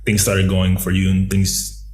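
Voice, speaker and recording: A man's voice: low-pitched, completely flat delivery, a little slow, soft background music. A man interviewing a young musician, asking rambling, casual questions full of fillers.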